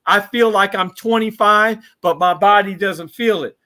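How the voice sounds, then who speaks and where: A man in his fifties, a guest in a casual interview, reminiscing and rattling off shout-outs to old friends. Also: high voice, normal speed. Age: 50s. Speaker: podcast guest.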